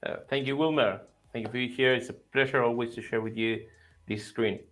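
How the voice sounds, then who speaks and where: A man's voice: mid-range voice, steady tone, fairly quick, fairly bright tone. A man hosting an online panel chat, friendly and rambling with many fillers. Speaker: host.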